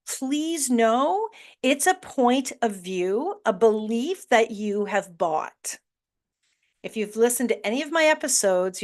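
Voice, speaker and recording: A woman hosting a motivational podcast, speaking earnestly and emphatically to her listeners, asking rhetorical questions and sometimes swearing mildly. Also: medium pitch. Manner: blunt, with mild swearing and laughs. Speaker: podcast host.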